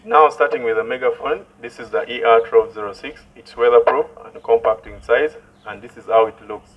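Halting man demonstrating through a megaphone. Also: mid-range voice, highs cut off. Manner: halting, short plain statements. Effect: amplified through megaphones. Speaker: product demonstrator. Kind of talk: live product demonstration. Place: outdoors by a building wall, some distance from camera. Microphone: megaphone and headset into portable speaker.

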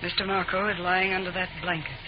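A woman's voice: on the low side, little pitch movement, brisk, noisy background, close to an everyday voice. A woman playing a haughty, mysterious circus fortune-teller, solemn and theatrical, in an old radio drama. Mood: solemn, ominous. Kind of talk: 1940s radio acting. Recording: dull old radio broadcast.

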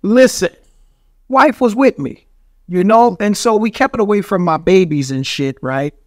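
A man's voice: high voice, expressive, moderate pace, no echo, studio quality. A middle-aged man with a New York accent telling stories casually on a podcast, emphatic and full of street slang and swearing. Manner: emphatic, street slang, heavy swearing, frequent "you know" tags. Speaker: podcast guest. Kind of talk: casual storytelling in a podcast interview. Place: small studio with foam-padded wall. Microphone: studio microphone on boom arm.

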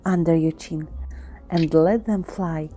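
Low, mellow-voiced woman tutorial host. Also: steady tone, slow. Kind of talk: tutorial voice-over with history. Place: indoor studio with painted backdrop. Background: soft background music.

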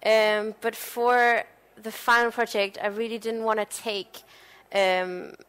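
Smooth-voiced young woman from Denmark. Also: a little high, fairly even intonation, takes it slowly, fairly bright tone. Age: young adult. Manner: informal, with frequent fillers. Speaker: panel speaker, designer. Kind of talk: conference presentation.

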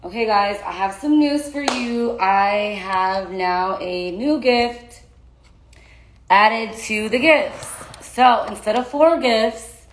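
A young woman with a raspy voice chatting casually to followers on a phone livestream about a giveaway. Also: on the high side, slow, echoey room, a little hiss or hum. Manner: chatty, laughing, talking to her dogs between points. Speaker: social media influencer. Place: small bedroom indoors. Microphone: phone at arm's length.

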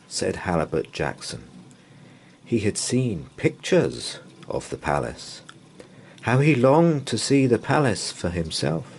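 A man reading a children's bedtime story aloud in an expressive, dramatic way with drawn-out pauses. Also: low-pitched, creaky, a little hiss or hum. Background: open fire ambience.

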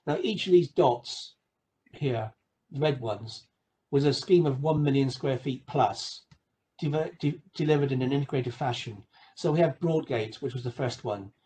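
A man giving an explanatory slide lecture over a video call, measured, with emphatic phrase openings. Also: mid-range voice, dark tone, poor audio. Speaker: guest lecturer presenting a webinar.

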